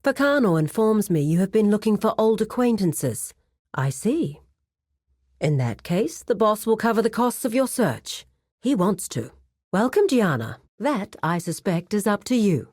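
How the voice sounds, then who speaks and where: An actress playing a composed, businesslike crime cartel administrator in a video game, speaking in a formal, measured, authoritative way. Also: female voice, medium pitch, a little mellow, no echo, clean studio sound. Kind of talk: scripted video game character dialogue.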